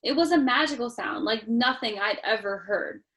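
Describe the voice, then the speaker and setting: Higher-voiced woman reading to young children. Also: moderate pace. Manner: expressive, talking directly to young children. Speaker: storytime reader. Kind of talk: children's picture book read-aloud with chatty asides.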